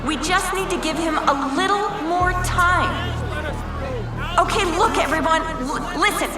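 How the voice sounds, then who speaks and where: A woman's voice: very high voice, a little slow. A woman playing an anxious peacemaker pleading in an audio drama. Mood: pleading, urgent. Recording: echoey, loud music and crowd.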